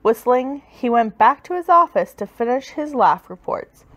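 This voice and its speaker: A woman reading a children's story aloud with expressive, dramatic, emphatic delivery. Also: a little high, moderate pace. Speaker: storybook reader.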